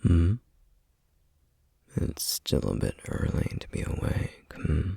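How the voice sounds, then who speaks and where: Guy doing a weary stern demon guardian for asmr rp, super breathy low whispery voice, slow and guarded. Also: big pitch swings, warm tone, no room sound, heavily acted. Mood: calm, reassuring. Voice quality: breathy, husky half-whisper. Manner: measured, weary, grave, formal phrasing. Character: duty-bound male flame demon, stern, patient, guarded guardian. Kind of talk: ASMR roleplay, scripted acting.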